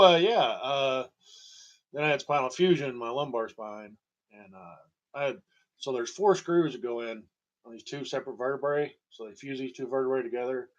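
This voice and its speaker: A man telling a personal story in a halting, digressive monologue with a wry, emphatic manner. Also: mid-range voice, fairly bright tone, dull audio. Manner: halting, digressive, wry, chuckling at grim details. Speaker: storyteller and host. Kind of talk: rambling personal monologue about own medical history.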